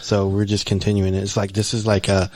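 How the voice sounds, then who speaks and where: Low-voiced man on a dry podcast mic. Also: completely flat delivery, a little fast, darkish tone, no echo. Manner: easygoing, leans on "you know" and "like". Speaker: podcast co-host. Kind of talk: casual chat.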